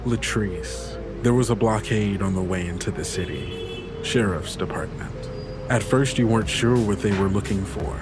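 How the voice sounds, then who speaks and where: A man's voice: fairly low pitch, normal speed. A man working as game master, telling a tabletop story in descriptive, theatrical narration over music. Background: music under the voice.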